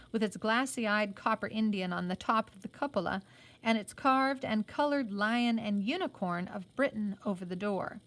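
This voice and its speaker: A woman's voice: mid-range voice, fairly bright tone. Woman reading a novel aloud, teacher style. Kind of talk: read-aloud of a children's novel with plain explanations.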